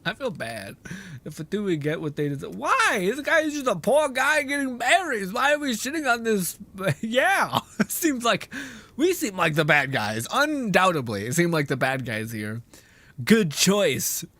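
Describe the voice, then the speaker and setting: Streamer: an animated, profane man, high and bright. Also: unhurried, no room sound. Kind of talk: casual gameplay commentary.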